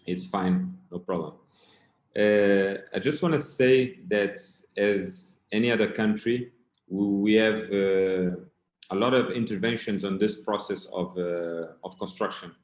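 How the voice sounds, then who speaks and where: Low-voiced Portuguese-speaking man, echoey room. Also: darkish tone. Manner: plain, somewhat halting delivery. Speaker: keynote speaker. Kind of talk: conference talk.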